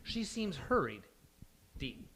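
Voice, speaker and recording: Guy reading stage directions at a live table read, slightly high voice, bit of background noise. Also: big pitch swings, slow pace, fairly bright tone. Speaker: host and stage-direction reader. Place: live venue before a studio audience.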